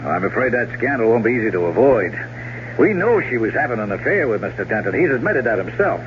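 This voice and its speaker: An actor playing a plain-spoken, probing police lieutenant, hesitant and apologetic, in a 1970s radio drama. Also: male voice, medium pitch, sing-song, fast, natural voice. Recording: muffled, faintly noisy old radio broadcast.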